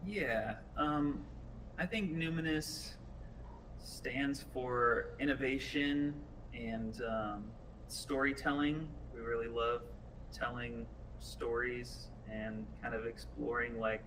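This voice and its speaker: A man speaks slowly and thoughtfully, pausing as he goes. His tone is bright, picked up slightly far from the mic in informal panel talk. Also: mid-range voice. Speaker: game art director. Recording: distant mic with faint noise.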